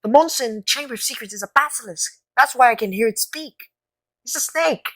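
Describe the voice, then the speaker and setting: A woman playing an earnest, quick-thinking boy wizard in an audio drama, speaking with deliberate conviction. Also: a little high, expressive. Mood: urgent realisation. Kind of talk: fan audio drama acting.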